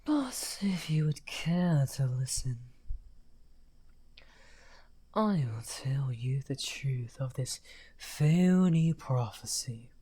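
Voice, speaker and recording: Man acting a very slow, husky villainous wizard. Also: mid-range voice, lively intonation, mellow. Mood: intrigued, coy. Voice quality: breathy, husky, often half-whispered. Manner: unhurried, taunting, drawn-out phrasing. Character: misunderstood outcast necromancer wizard villain, smugly amused. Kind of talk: slow theatrical ASMR roleplay.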